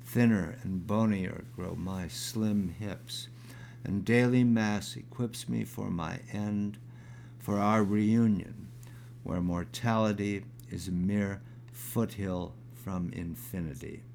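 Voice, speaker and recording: A man in his sixties with a crackly, gravelly voice, reading his own poem aloud, halting with fillers when he talks and measured when he recites. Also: fairly low pitch, darkish tone. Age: 60s. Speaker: poet.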